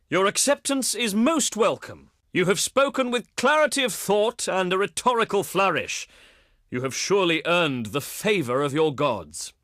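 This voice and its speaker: A proud, cultured Greek statesman of antiquity for a video game. His delivery is highly animated, with a fairly high male pitch and a close, dry sound. He speaks formally and grandly, with wry, pompous flourishes. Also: dry recording, exaggerated voice. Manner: pompous, flowery, formal oratory. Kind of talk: theatrical game diplomacy voice lines.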